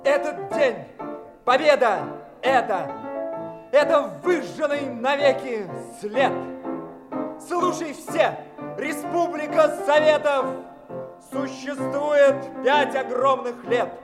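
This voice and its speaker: Soviet agitprop verse, really high male voice, slow rousing declaiming, piano behind. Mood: triumphant. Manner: rousing, emphatic, measured. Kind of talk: declamatory verse in radio drama.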